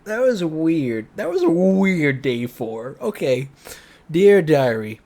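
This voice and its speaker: A man's voice: fairly high pitch, very animated, slow, mellow. A man reading a visual novel aloud as a streamer, switching between dramatic narration and casual, joking commentary. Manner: playful, joking asides.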